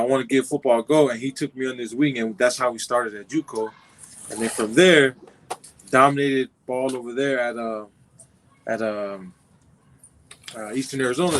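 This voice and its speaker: A young man from California talks loosely and unhurriedly, circling back on his thoughts with plenty of "you know" and "like." His voice sits at an ordinary middle pitch. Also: fairly even intonation, normal speed, pauses often, a little hiss or hum, poor audio. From Compton, California. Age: 20s. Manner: laid-back, rambling, many fillers and restarts. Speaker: football player guest. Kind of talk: casual interview.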